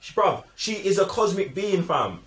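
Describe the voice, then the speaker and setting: A man's voice: high voice, very animated, normal speed, fairly bright tone. A man with a London accent in a casual film discussion, talking in slang and arguing his points by repeating them. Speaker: film review show host. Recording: echoey room sound.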